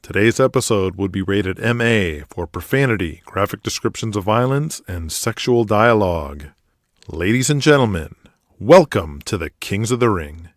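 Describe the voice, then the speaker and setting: A man with a lowish voice works as podcast host and narrator. He delivers scripted announcements and storytelling, recorded close with very little room sound. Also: expressive, normal speed, no echo.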